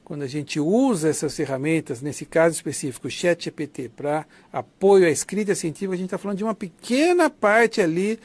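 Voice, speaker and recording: A man's voice: fairly high pitch, lively intonation, fairly quick, hardly any pauses, dry recording. A man with a Brazilian accent lecturing in an informal, conversational teaching style, often checking in with short tag questions. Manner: didactic, conversational, often seeks confirmation with tags. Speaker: lecturer of an academic writing course. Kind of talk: online lecture, informal explanation.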